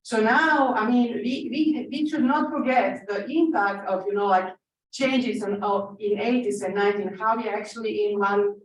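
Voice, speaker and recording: A woman from Croatia, speaking as a conference panelist and pausing often on fillers and restarts. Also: mid-range voice. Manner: hesitant, many fillers and restarts. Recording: echoey room, distant mic, faint noise.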